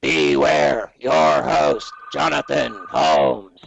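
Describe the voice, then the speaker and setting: A man voicing an ominous male announcer in a slow, gravelly drawl for a podcast intro. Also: medium pitch, lively intonation, full character voice. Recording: dull lo-fi sound with faint noise.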